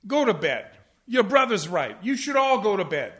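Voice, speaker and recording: Actor as high-voiced, blustering old jazzman dad. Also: sing-song, moderate pace, a bit bright. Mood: weary, brooding. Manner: melodramatic, stammering, flustered. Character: blustering, desperate ageing jazz-musician father. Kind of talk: comic radio play acting.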